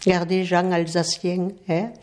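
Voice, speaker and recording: A woman's voice: on the low side, flat delivery, moderate pace, a little mellow. A woman chatting casually and with amusement, laughing as she speaks. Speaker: interviewee. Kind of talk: casual chat.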